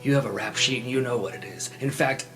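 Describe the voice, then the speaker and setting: A man's voice: mid-range voice, fast, pitched above the performer's own voice, natural voice. A man voicing a guarded young noble creature in a fantasy game, speaking tersely and with cold distrust. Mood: cold, distrustful. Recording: background music under voice.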